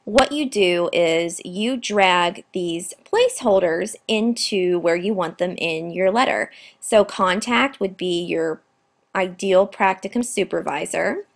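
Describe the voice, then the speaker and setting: A young woman in her twenties with a slightly raspy, tired voice, casually talking through a software tutorial over a screen recording. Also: medium pitch. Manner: chatty, informal walkthrough with fillers. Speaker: graduate student tutor. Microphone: computer mic, voice-over on screen recording.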